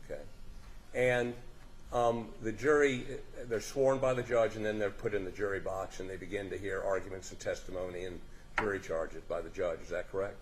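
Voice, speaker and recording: A lower-pitched man questions a witness in court, stopping and restarting his sentences in a folksy manner. Faint room noise sits under his voice, picked up by a courtroom microphone. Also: flat delivery, moderate pace. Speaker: defense attorney questioning a witness. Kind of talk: courtroom examination.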